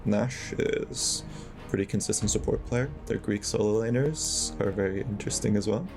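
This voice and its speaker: Low, gravelly man over music. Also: normal speed. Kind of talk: interview clip. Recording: music playing underneath.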